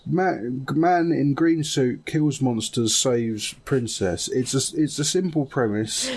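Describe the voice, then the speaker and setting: A man with a somewhat husky, less polished voice, talking casually as a podcast co-host. His pitch sits in the middle of the male range and his delivery is conversational. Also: expressive, takes it slowly, a little mellow. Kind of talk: casual group chat about games and films.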